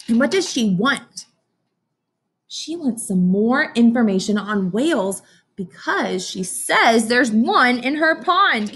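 A woman's voice: on the high side, a bit bright, slightly rough. A young woman teacher doing a read-aloud lesson, explaining and asking questions as if to young children. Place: classroom, indoors.

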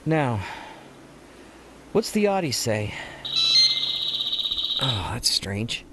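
Man acting animated, flustered adventurer. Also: mid-range voice, drawn-out words, noisy background. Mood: wry, puzzled. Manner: wry, talking to self. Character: flustered adventurer thinking aloud after escape. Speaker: actor hosting in character. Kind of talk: audio drama monologue.

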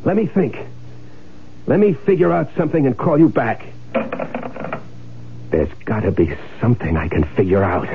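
A man's voice: medium pitch, normal speed, creaky, long pauses, close to an everyday voice. An actor playing an edgy, bossy man scheming to fake his death, in 1970s radio drama style with urgent delivery. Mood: agitated, insistent. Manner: urgent, commanding. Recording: narrow tinny 1970s radio recording, faint noise.

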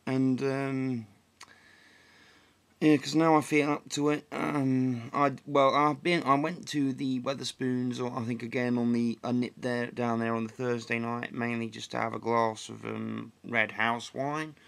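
A young man from England, slightly croaky after flu, rambling through a casual solo vlog update with many ums and restarts, filmed on a close webcam in a dim living room. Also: medium pitch, level tone, a little slow. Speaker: vlogger.

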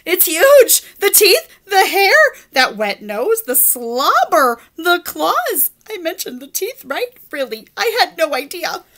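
A pushy little cartoon pigeon voiced by a woman whines and begs in a high, loud squeal. His pitch swings wildly, jumping up whenever he gets worked up. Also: huge pitch swings, moderate pace, exaggerated voice. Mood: alarmed. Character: pushy, whiny, excitable male cartoon pigeon.

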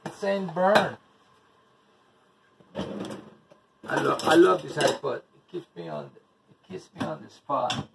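A slow-talking, gravelly man with a Spanish accent cooks in his home kitchen, rambling and cursing bitterly as he goes. The camera sits across the counter, so he sounds a little distant, with a faint hiss behind him. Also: a little high, lively intonation. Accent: Spanish-speaking, English as second language. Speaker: home cook vlogger. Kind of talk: casual cooking video with ranting. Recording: voice from off-mic with faint noise.

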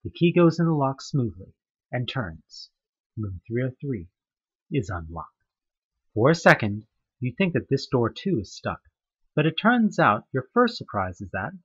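A man's voice: mid-range voice, fairly bright tone, slightly rough, lo-fi sound. A man narrating a horror webcomic audioplay in the second person, telling it with tense, dramatic questions. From United States.

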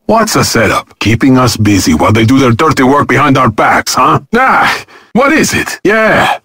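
An actor voicing a suave, cocky robot duelist in a video game, with haughty, theatrical gallantry. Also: male voice, mid-range voice, sing-song, normal speed, sharp tone, slightly rough, no echo, clean studio sound, heavily acted. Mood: cool, ready.

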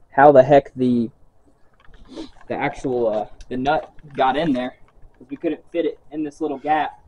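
A man's voice: mid-range voice, mellow, poor audio. A man hosting a casual vlog, talking in an easygoing way outdoors. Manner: easygoing, swears when frustrated. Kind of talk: casual chat.

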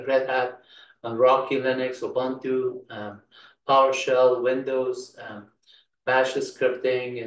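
Middle-aged male instructor, Afghan accent, Persian native. Even, halting delivery, distant mic in a roomy space. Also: mid-range voice. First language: Persian (Farsi). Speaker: computer science instructor. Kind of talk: informal unscripted tutorial talk. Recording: roomy echo, distant microphone.

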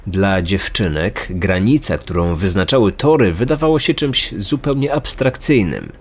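A man's voice: low voice, lively intonation, moderate pace, slightly rough. A man reading a scripted true-crime narration. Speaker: podcast narrator. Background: background music.

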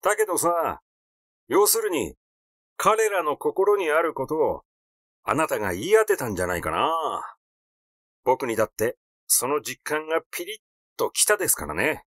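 Man playing a cheeky, glib young man, very animated. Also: on the high side, normal speed, no room sound, clean studio sound. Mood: meddling, glib. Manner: needling, argumentative.

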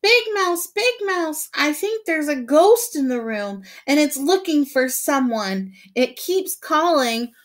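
A woman's voice: a little slow, a bit bright. A woman voicing a small, frightened boy mouse who keeps calling for help and pleading to share a bed. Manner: anxious, pleading, high calls.

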